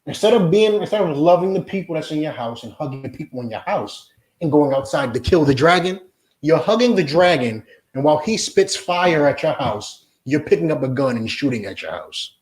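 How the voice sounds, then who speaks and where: A man teaches a religious class in a forceful, insistent preaching style, checking again and again that his listeners follow. His voice sits fairly high for a man. The room around him rings with echo. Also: lively intonation, a little fast. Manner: forceful, repeats "you understand?". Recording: echoey room.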